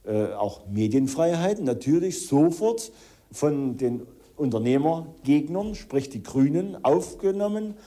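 A man's voice: a little high, very animated, unhurried, warm tone. A man making a wordy, formal, indignant statement in his own defence. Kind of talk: self-justifying interview statement.

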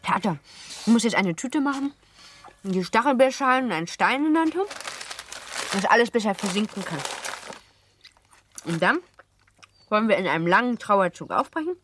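A woman acting a lively, playful, well-born girl of seventeen in a German radio play, chatty and teasing. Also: mid-range voice, normal speed, pauses often, a little hiss or hum. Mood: mock solemn. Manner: chatty, teasing, self-assured.

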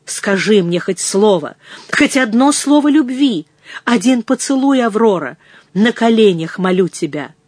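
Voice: mid-range voice, animated, a little slow, a little mellow, dry recording. A narrator voicing a jealous, passionate male suitor, wheedling and threatening by turns in an audiobook. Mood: begging. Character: jealous, ardent suitor, menacing then pleading man. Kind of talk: dramatised audiobook reading.

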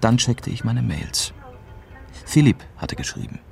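An actor playing a young German correspondent, narrating soberly in the first person for a radio drama. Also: male voice, mid-range voice, big pitch swings, a little slow, vocal fry, heavily acted. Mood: matter-of-fact. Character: young German newspaper correspondent narrating his dangerous investigation.

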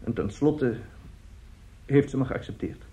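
An actor in a radio drama playing a middle-aged, self-justifying seducer and family friend, speaking haltingly and emphatically. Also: male voice, mid-range voice, animated, mellow, close to an everyday voice. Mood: uneasy confession. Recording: dull, muffled radio play recording.

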